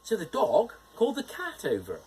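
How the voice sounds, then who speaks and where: A cheerful, lively gnome storyteller. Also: male voice, sits high, moderate pace, slightly rough, exaggerated voice. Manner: animated, playful storytelling with teasing jokes. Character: cheerful male gnome storyteller, friendly and playful. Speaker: storyteller host. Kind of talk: bedtime fairytale reading.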